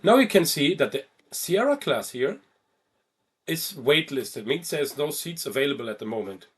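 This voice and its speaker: Higher-voiced man narrating a software tutorial. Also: sing-song, normal speed, slightly rough. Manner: informal step-by-step explaining with check-in questions. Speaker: travel ticketing instructor.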